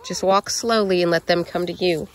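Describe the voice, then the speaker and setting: A woman's voice: on the low side, steady tone, moderate pace. A woman vlogging casually outdoors on her farm, laughing often and explaining things to her viewers. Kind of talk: casual family chat.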